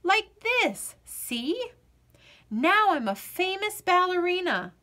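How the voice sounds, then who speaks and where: Woman putting on an excitable high-pitched little girl. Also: slow pace, exaggerated voice. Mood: excited, boastful. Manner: excitable, emphatic, childlike. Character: energetic, indignant, imaginative little girl.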